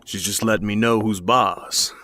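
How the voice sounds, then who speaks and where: A man's voice: on the low side, creaky, pushed and bright, slight background noise, exaggerated voice. A man playing an easygoing new shop employee in an audio drama, talking in a casual, conversational way.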